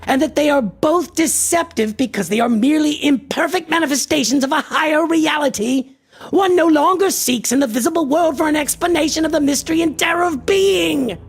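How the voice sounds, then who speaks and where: A woman playing an arrogant, obsessive male antiquarian in a radio horror drama, raspy and creaky, with grandiose, condescending delivery. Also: high voice, fairly quick. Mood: intense, condescending. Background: faint music.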